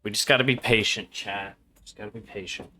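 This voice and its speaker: Guy livestreaming a game, kinda low voice but bright n sharp, muttering slangy stuff to chat, swears a bit. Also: steady tone, brisk, slight background noise. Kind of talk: casual live gameplay commentary.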